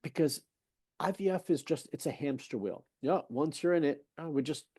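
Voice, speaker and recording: Emphatic man with a mellow voice. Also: fairly high pitch, moderate pace, slightly rough, no room sound, clean studio sound. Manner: emphatic, persuasive, rhetorical questions; conversational, retells patient exchanges. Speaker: fertility specialist guest. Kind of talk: podcast interview talk.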